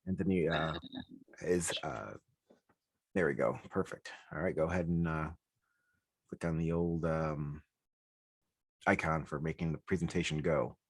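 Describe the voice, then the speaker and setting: A man's voice: a bass voice, unhurried, pauses often, no echo. A man hosting a virtual presentation, giving easygoing, friendly instructions to his guest on a video call. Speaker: gallery host.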